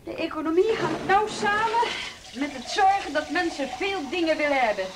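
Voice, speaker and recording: A woman's voice: very high voice, animated, moderate pace. An actress playing a weary, practical woman who works with troubled youths in a naturalistic radio play, speaking in a dry, matter-of-fact way. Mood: explaining. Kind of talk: naturalistic Dutch radio drama dialogue. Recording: noisy radio play recording.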